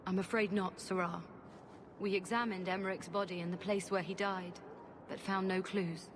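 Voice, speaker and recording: A woman playing a dutiful officer in a video game, speaking in a formal, measured way. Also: mid-range voice, steady tone, lightly acted. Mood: measured, regretful. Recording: clearly noisy background ambience.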